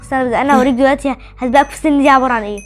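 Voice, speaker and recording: A child with an Egyptian accent chatting excitedly over a video game, exclaiming and pleading in repeated phrases. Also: high-pitched, racing, soft background music. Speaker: gaming video co-host. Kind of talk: excited casual chat while playing a video game.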